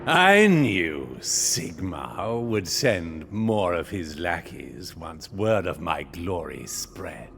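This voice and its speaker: A proud ghostly knight in a game cutscene. He talks slowly in a gravelly voice that swells and sinks theatrically. His manner is courtly and pompous, full of cold menace. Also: low-pitched, sing-song, a bit bright, a little hiss or hum, heavily acted. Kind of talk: video game cutscene acting.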